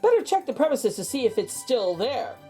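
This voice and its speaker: A woman's voice: high voice, big pitch swings, moderate pace, dark tone, soft background music. A woman voicing an officious male small-town police officer for a storybook, speaking in clipped official jargon. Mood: matter-of-fact.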